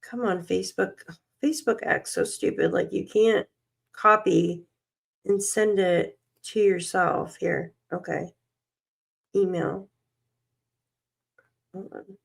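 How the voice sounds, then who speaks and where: A woman's voice: mid-range voice, steady tone, slow pace, vocal fry, long pauses, breathy edge. A tired woman hosting a casual livestream, reading documents aloud and muttering to herself as she searches, coughing and losing her voice. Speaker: livestream host.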